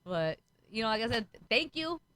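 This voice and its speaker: A young woman comedian talks in a loud, brash way, swearing freely and breaking into laughs. Her delivery is casual and lively, like a guest riffing on a live stream. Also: fairly high pitch, moderate pace, no room sound. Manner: loud, profane, laughs often.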